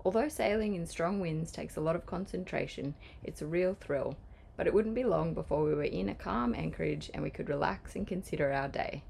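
A woman's voice: fairly low pitch, brisk, vocal fry, a little mellow, a little hiss or hum. A woman reading a scripted voice-over for a sailing vlog.

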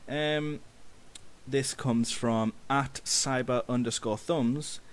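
Male podcast host, mid-pitched, informal and a little halting, in casual interview style. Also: steady tone. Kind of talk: casual interview, reading fan questions.

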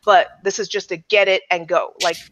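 A woman's voice: lowish voice, steady tone, normal speed, fairly bright tone, slight background noise. A young adult woman hosting a podcast, explaining things casually to the audience over a headset. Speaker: podcast host. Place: small room at home. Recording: slightly dull video-call audio.

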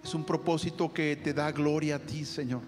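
A man's voice: a little high, fairly even intonation, moderate pace. Fervent man praying over guitar music. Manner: fervent, repeats "Señor" after every phrase. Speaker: prayer leader. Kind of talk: spoken prayer over music. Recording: long hall reverb with guitar music. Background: soft guitar music.

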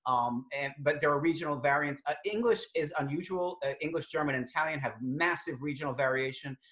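Lexicographer type, middle-aged, Boston accent, slightly high voice, thoughtful, on a video call. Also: male voice, a bit bright. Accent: Boston, non-rhotic. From Greater Boston. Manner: articulate, measured, with frequent fillers. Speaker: lexicographer guest. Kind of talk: thoughtful conversational explaining. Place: home study with bookshelves.

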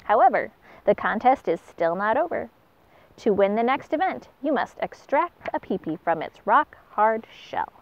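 A wise old pelican , as a woman reads him, judges the contest in a measured, announcing voice. The pitch sits a little high and swings widely. The recording sounds dull and lo-fi. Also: very animated. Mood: judging.

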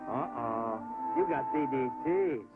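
A man's voice: medium pitch, animated, slow pace. A man voicing a jittery, gossipy veteran navy airplane in a 1940s cartoon, stammering and repeating words in a folksy way. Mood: nervous, knowing. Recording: tinny 1940s film soundtrack with music.

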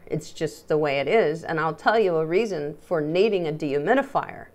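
A middle-aged woman presents a casual how-to vlog in a slightly low-pitched voice. She is chatty and emphatic, and she addresses her viewers directly. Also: fairly bright tone. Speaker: dog groomer presenting salon setup.

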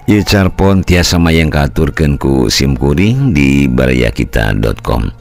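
A middle-aged man with a Sundanese accent telling a scripted story in an unhurried, folksy way. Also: rumbling low, animated, vocal fry, darkish tone. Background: faint music.

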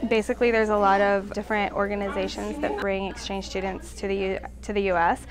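A woman's voice: medium pitch, flat delivery, brisk. An American woman explaining a program informally in an interview. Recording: busy room chatter behind.

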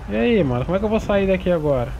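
A man's voice: high voice, animated, racing, vocal fry, highs cut off, slight background noise. A man from Brazil streaming a roleplay game, chatting in laid-back slang and talking to his viewers. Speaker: gaming streamer. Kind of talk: roleplay gameplay chat.

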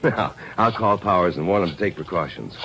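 An actor playing an earnest, decisive young American attorney in a 1950s radio drama. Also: male voice, sits low, lively intonation, brisk, background noise. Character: aggressive young male attorney, earnest crime fighter. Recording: dull old radio recording.

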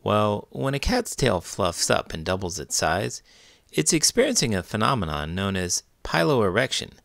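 A man narrating a children's story as read-aloud host, expressive and deliberate. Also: on the low side, vocal fry, dry recording, clean studio sound.

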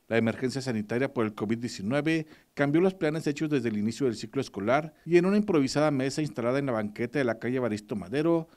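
A man reads a news report in an even, formal manner. His voice sits at a middle pitch, and he keeps a measured reporting pace. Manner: steady, formal reading. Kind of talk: scripted news voice-over.

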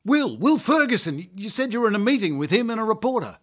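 A man's voice: high voice, very animated, fast talker, a bit bright, exaggerated voice. An actor playing a flustered, fussy male public relations manager who talks in analogies in a radio comedy. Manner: flustered, fussy, fond of metaphors.

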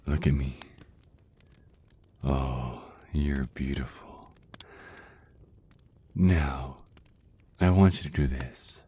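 Man acting a very deep, husky jealous vampire ex. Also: mellow, exaggerated voice. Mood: possessive, tender. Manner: slow, taunting, breathy murmur. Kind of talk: intimate ASMR roleplay acting.

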